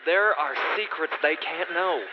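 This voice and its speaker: A man playing a treacherous prince who accuses his father the king, speaking in a sharp, accusing way in a radio drama. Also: a little high, unhurried, close to an everyday voice. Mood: scheming. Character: bitter treacherous prince, scheming son. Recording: dull lo-fi audio with hiss.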